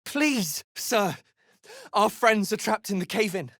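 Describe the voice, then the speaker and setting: An earnest deep gnome in a fantasy video game. She is mid-pitched and pleading, a desperate soul weighed down by grief, recorded close and dry. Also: lively intonation, slow pace, no echo. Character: desperate, grieving deep gnome woman pleading for trapped friends.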